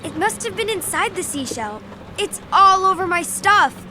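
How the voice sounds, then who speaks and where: A woman's voice: extremely high pitch, lively intonation, normal speed, noisy background. An actress playing a cocky young girl scientist in a children's horror audio drama, boastful, dismissive and dramatic. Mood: excited, defensive.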